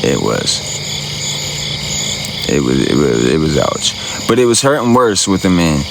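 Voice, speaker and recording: A man with a very low voice chats to his viewers in a loose, rambling, slangy way. He is streaming from a phone outdoors at night, with crickets and insects loud behind him. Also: noisy background. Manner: laid-back, rambling, slangy. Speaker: livestreamer. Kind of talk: casual livestream chat answering viewers.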